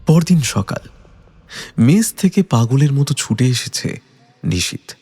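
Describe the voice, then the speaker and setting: Male thriller narrator: gravelly, rough-edged lower voice, dramatic scripted read, faint music bed. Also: lively intonation, unhurried, warm tone.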